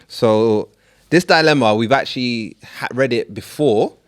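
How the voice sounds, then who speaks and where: A man's voice: medium pitch, unhurried. A man hosting a podcast, chatting casually with guests, laughing often and reading out a viewer's letter. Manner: animated, laughs often, reads the letter aloud. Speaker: podcast host.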